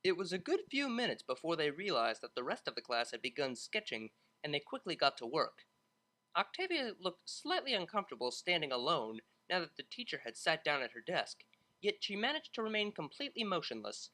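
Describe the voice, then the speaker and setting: Audiobook narrator for fiction: bright tone, animated intonation. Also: medium pitch, brisk.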